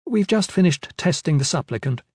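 A man's voice: a little high, animated, moderate pace, darkish tone, slightly rough, no echo, studio quality, pitched above the performer's own voice. A man voicing a timid, nervous young woman magister who speaks hesitantly. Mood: explaining. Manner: hesitant, meek.

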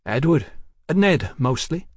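A man voicing a drunk, earnest, educated gentleman who broods and pontificates in an audiobook story. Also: a little high, sing-song, measured pace, dry recording, voice pushed up. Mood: smitten, tipsy.

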